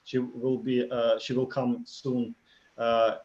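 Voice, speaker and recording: A man with a Russian accent, Russian native, co-hosting a casual interview, speaking haltingly with many fillers. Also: medium pitch, flat delivery, darkish tone. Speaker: co-host. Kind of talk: casual video-call interview. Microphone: video call. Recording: lo-fi video call audio.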